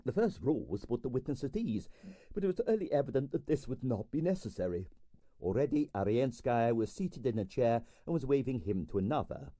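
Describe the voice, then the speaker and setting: A man reading a comic novel as audiobook narrator, in an expressive, wry storytelling manner. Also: mid-range voice, a little fast, dry recording, clean studio sound.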